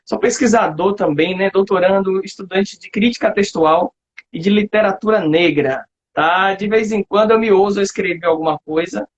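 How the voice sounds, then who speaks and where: A man's voice: sits high, moderate pace. A man from Salvador with a Bahian accent hosting a casual, colloquial live chat online. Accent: Bahian Brazilian Portuguese. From Salvador, Bahia, Brazil. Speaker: host, literature teacher. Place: online video live, remote call. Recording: dull lo-fi livestream audio.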